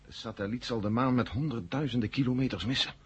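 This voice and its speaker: Husky, proud military general. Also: male voice, mid-range voice, fast, dull audio. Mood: troubled. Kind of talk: 1970 Dutch radio play acting.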